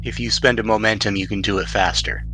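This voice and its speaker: A man's voice: lowish voice, quick pace, bright, forceful tone. A man acting as game master, casually explaining rules and describing outcomes in a tabletop role-playing game. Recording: music playing behind the voice.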